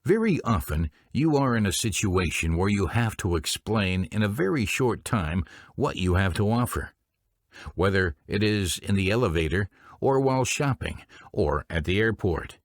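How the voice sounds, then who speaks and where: A man presenting business training in scripted instructional narration, speaking in a formal, deliberate way. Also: on the low side, animated, no echo, studio quality.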